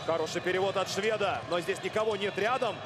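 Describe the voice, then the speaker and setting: A man calling a live basketball game play by play, brisk and lively. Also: high voice, noisy background. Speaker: play-by-play commentator.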